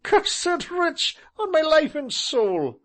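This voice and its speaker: A man voicing a tall, jovial gentleman who gushes and laughs at his own joke in a high voice. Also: big pitch swings, measured pace, exaggerated voice. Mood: gleeful. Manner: mocking, laughing, gushing.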